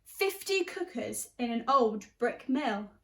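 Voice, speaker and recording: A woman with an expressive, chatty and encouraging way of talking, leading and reading aloud for young children as their teacher. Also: on the high side, slow pace. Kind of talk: animated storybook read-aloud and guided exercise.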